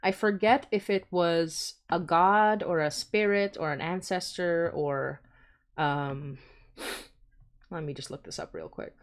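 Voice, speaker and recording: A woman's voice: fairly low pitch, fairly even intonation, takes it slowly. A woman from the Philippines hosting a livestream, chatting informally and thinking aloud as she explains things. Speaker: podcast creator hosting a fundraising livestream. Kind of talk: casual rambling livestream explainer.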